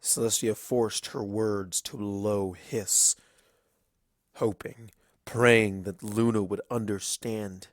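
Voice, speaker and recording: Slow, deep-voiced man narrating dramatic fiction. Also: fairly even intonation, no echo, studio quality. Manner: grave, deliberate storytelling with dramatic pauses.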